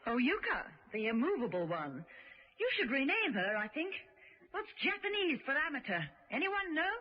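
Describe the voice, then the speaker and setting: A woman's voice: sits high, sing-song, normal speed, a little hiss or hum. A woman playing a cool, confident lady agent in a radio drama, with a British accent and an arch, teasing drawl. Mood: mocking. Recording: muffled vintage radio recording.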